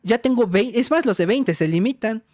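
A woman's voice: on the high side, expressive, moderate pace, darkish tone, dry recording, studio quality. A woman with a Mexican accent co-hosting a casual talk, making her points emphatically with repetition and rhetorical questions. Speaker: podcast co-host. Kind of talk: casual conversation.

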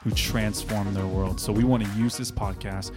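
Low-voiced man hosting a faith podcast. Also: steady tone, normal speed, over music. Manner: playful, teasing banter. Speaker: podcast host. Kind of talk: podcast intro and casual banter. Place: podcast studio.

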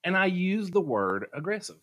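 A man's voice: high-pitched, normal speed. A man, co-hosting a podcast in casual chat, telling stories with lots of laughs. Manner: animated storyteller, laughs easily. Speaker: podcast co-host. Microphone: studio microphone.

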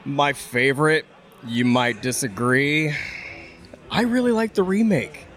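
A man's voice: mid-range voice, expressive, measured pace, fairly bright tone. A man hosting a podcast in casual chat about horror films, agreeable and a little tentative. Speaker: podcast host.

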